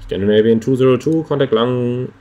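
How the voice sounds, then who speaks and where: A man's voice: medium pitch, a little fast, warm tone. A man giving clipped, procedural radio instructions as a flight simulator air traffic controller, with brief asides to viewers.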